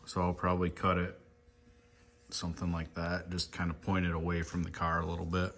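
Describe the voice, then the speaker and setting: A man's voice: low-pitched, completely flat delivery, a little fast, a bit bright. A man giving a casual, plainspoken hands-on walkthrough as a car builder, thinking aloud in an informal way. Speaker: car builder hosting a fabrication video. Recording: noisy handheld shop video, ambient noise.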